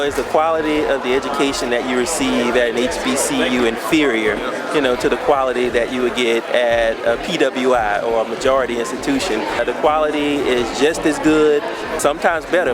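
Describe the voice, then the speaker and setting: A man's voice: medium pitch, steady tone, a little fast. Earnest man at a noisy college fair. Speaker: college recruiter. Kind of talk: documentary interview. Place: busy college fair in a large hall. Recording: loud crowd behind.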